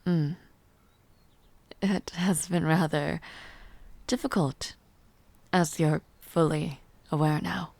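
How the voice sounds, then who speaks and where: A refined, weary noblewoman, acted by a woman in a fantasy audio roleplay. She speaks very slowly, in a slightly low register with a touch of fry. Her manner is formal, gentle and patient, with soft hesitations, and the sound is close and dry. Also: fairly even intonation, no echo, heavily acted. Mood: composed, patient. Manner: measured, formal, hesitant, softly spoken with pauses. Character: refined, gentle, tired noblewoman ruler mentoring a newcomer. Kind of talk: fantasy audio roleplay, intimate one-sided dialogue.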